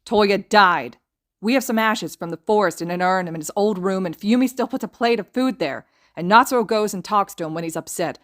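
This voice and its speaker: A woman voicing a quiet young man who speaks softly and later screams in grief in a fan audiobook. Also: medium pitch, a little fast, no room sound, studio quality, voice pushed up, lightly acted. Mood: anguished. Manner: soft, then screaming. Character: soft-spoken scarred young man, grieving.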